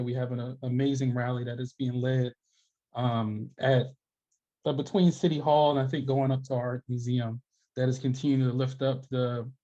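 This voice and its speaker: A man's voice: medium pitch, darkish tone. A young adult man, an educator and activist, introducing himself and speaking in advocacy on a panel video call. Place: small room at home, indoors. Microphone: headset on a video call. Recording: dull, lo-fi video call audio.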